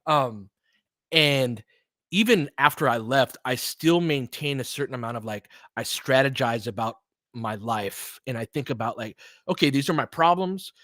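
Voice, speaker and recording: An American man with a mid-pitched voice hosts a casual basketball stream. He talks conversationally and analytically, like someone explaining the game to a chat while calling it. The recording is dry, with very little room sound. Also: normal speed, a bit bright, no echo. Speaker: basketball commentator and host of a live stream. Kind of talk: casual live play-by-play commentary with chat.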